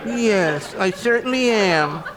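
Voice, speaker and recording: A man's voice: sits high, lively intonation, slow pace, low-quality recording. A man playing a meek, prim, overly polite little man in a 1940s-style radio comedy. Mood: meek, apologetic. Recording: crowd and room noise behind.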